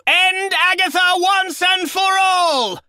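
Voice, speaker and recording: A man's voice: very high voice, fairly even intonation, slow pace, sharp tone, dry recording, studio quality, heavily acted. An actor playing a snobby, haughty medieval nobleman in a video game, with pompous, theatrical exclamations. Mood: haughty, battle-crying. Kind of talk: video game battle barks.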